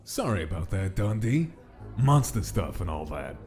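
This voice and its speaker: Guy voicing a sly skeleton mobster, dark mellow voice, lively swings, fake polite. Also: on the low side, normal speed, faint music behind. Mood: smug, falsely polite. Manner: smooth-talking, falsely polite. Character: scheming skeleton gangster monster feigning friendliness. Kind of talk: comic dub character acting.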